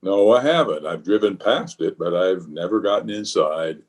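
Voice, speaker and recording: A man's voice: low voice, animated, moderate pace. A man playing a blustering, self-important middle-aged uncle and small-town official in an old-time radio comedy, with a pompous manner. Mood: flustered, deferential.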